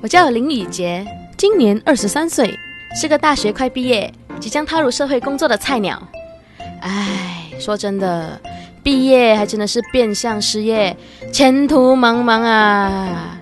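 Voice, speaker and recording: Radio play role: playful, lovestruck female student woman actor, early twenties, high voice, Malaysian Chinese accent, teasing colloquial delivery, faint music underneath. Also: animated, a little slow. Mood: chatty, fond. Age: young adult. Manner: coy, teasing, particle-heavy colloquial speech. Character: bubbly, playful 23-year-old female university student in love. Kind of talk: radio drama acting.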